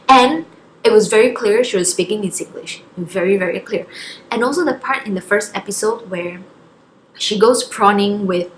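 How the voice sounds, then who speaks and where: A woman's voice: medium pitch, echoey room, slight background noise, low-quality recording. A woman from Singapore, chatting casually to camera with many fillers and self-corrections. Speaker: vlogger.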